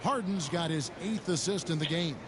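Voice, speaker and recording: A man playing a brisk play-by-play basketball announcer in a video game, making clipped, punchy calls. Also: a little high, natural voice. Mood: calling play. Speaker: play-by-play sports commentator. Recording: loud arena crowd, ball bounces, noisy.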